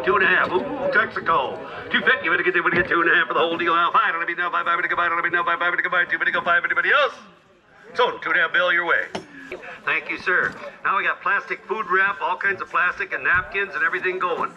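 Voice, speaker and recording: A man's voice: high voice, fairly even intonation, very fast, muffled recording. A man working as an auctioneer, calling bids in a clipped, repetitive chant at a busy auction. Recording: echoey, distant, loud crowd.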